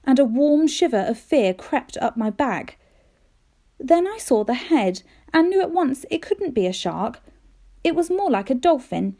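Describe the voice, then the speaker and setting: A woman reading a children's storybook aloud in an expressive, careful storytelling manner, with dramatic emphasis. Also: sits high. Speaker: teacher reading aloud to pupils.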